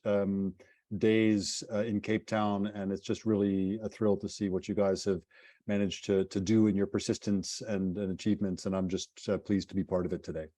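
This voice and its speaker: A middle-aged man with an American accent, speaking as a guest on a webinar panel over a video call, talkative and relaxed with a self-deprecating touch. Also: lowish voice, dry recording. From United States. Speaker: nonprofit CEO, panel guest. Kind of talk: casual webinar talk.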